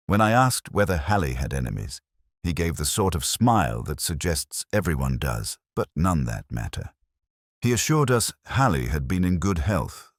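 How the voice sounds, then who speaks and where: Male narrator for a literary detective mystery: very low, husky voice, wry and measured. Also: no echo, studio quality. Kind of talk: scripted first-person detective mystery narration.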